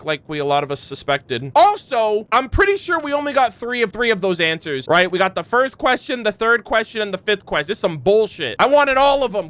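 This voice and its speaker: A man's voice: high-pitched, sharp tone. A man doing casual game reaction commentary, informal and sweary, switching between reading lore aloud and giving his own takes. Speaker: gaming streamer and lore reactor. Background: background music.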